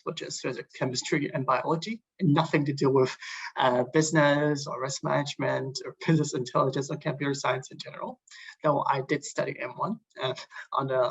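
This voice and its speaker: A man in his early twenties from Hong Kong gives an informal, hesitant student presentation on an online call, with frequent filled pauses. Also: mid-range voice, vocal fry, fairly bright tone, husky. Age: early 20s. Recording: dull lo-fi online call audio.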